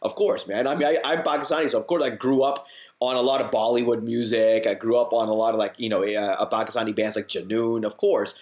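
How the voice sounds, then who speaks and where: A man's voice: medium pitch, fairly even intonation, fast talker, a little mellow. A man in his forties from Hong Kong, a band frontman chatting as a guest in a rambling way, full of "you know" and restarts. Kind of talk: casual interview chat. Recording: roomy echo.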